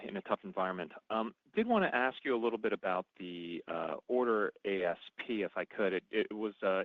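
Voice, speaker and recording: A man's voice: lowish voice, no echo. A man asking questions as an analyst on an earnings call, feeling his way through roundabout, hesitant phrasing over a telephone. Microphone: telephone conference call. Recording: narrow, tinny phone line.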